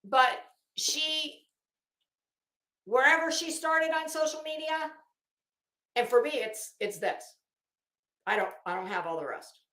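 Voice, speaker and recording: A woman ranting casually as an online host, blunt and sarcastic, swearing freely and halting to stretch words for emphasis. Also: high-pitched, animated, sharp tone. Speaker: YouTube host. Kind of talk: casual livestream rant. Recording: echoey room.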